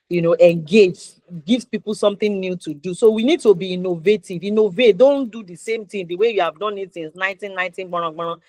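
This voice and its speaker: A woman host with a Nigerian accent, recorded dry with very little room sound. She speaks at a middle pitch in a conversational way, stressing and repeating her points as she encourages listeners. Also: no room sound. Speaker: talk show host. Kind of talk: conversational interview show hosting.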